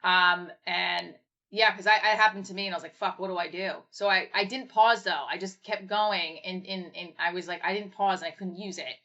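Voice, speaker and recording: A woman's voice: on the low side, steady tone, a little fast, pushed and bright. A woman hosting a casual livestream chat, asking loose, rambling questions with a self-deprecating streak. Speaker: livestream host. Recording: dull lo-fi stream audio.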